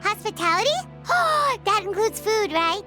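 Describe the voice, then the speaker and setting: A woman voicing a small, excitable floating fairy girl in a video game, squeaky, childlike and chirpy. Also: a little slow, loud music behind the voice, heavily acted. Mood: eager, cheerful. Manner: chirpy, refers to self in third person.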